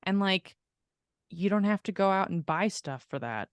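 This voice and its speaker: A woman with a medium-pitched voice chats casually as a podcast co-host. She is affirming and effusive, drifting into giggly, self-deprecating tangents. The sound is dry, with very little room in it. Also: lively intonation, moderate pace, smooth, no echo. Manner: gushing, affirming. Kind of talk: casual podcast chat between friends.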